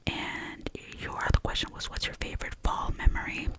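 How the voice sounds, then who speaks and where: Young woman, native Albanian speaker, all-whisper ASMR host, chatty and digressive with lots of ums. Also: moderate pace. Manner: soft, rambling and digressive, with many fillers. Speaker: ASMR creator.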